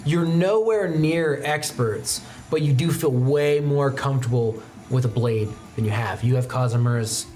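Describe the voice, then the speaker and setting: A man's voice: medium pitch, moderate pace, darkish tone. A man working as game master, narrating an improvised tabletop game in a casual, theatrical way. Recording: music playing behind the voice. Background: background music.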